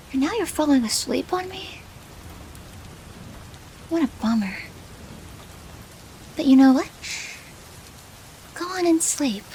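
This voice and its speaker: A woman playing a doting, possessive yandere girlfriend in an intimate ASMR roleplay, teasing softly and often dropping to a whisper. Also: high voice, slight background noise, full character voice. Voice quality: soft, breathy with whispered phrases.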